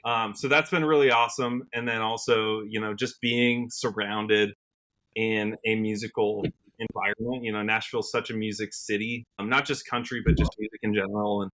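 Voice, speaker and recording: Casual interview guest: American man, early 30s, musician, bright tone, conversational delivery. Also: lowish voice, steady tone, moderate pace. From Washington State, United States. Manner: conversational, peppers talk with "you know".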